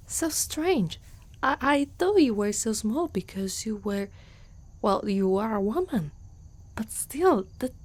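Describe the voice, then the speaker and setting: A woman's voice: on the high side, a little mellow. A woman with an Italian accent playing a proud, guarded but protective centaur girl in an ASMR roleplay, often dropping into whispers. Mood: puzzled, curious. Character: proud, guarded young female centaur warrior, gruffly protective.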